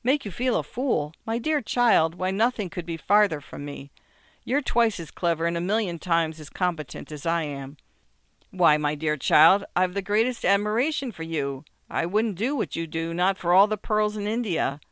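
Man acting a gushing, mocking wealthy wife, pitched high. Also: lively intonation, moderate pace, fairly bright tone, smooth, no echo, studio quality. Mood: mock admiring. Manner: ironic, teasing, exclamatory, condescending. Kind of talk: acted literary short story.

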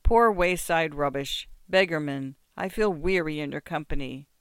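A man voicing a drunken, boastful peasant who shouts orders and rambles in self-pity. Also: on the high side, moderate pace, smooth, no room sound, clean studio sound. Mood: maudlin. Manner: blustering, bossy, slurred shouting.

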